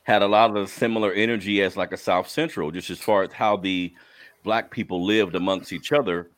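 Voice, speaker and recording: A man hosting a casual interview, asking questions in a relaxed, conversational way. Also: low voice, brisk. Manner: relaxed, conversational, brief affirmations. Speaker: podcast host.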